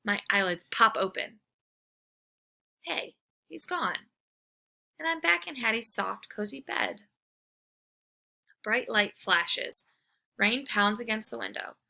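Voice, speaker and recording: A woman reading a children's book aloud, expressive and animated. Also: fairly high pitch, takes it slowly, vocal fry, long pauses. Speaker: storybook reader. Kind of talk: children's read-aloud narration.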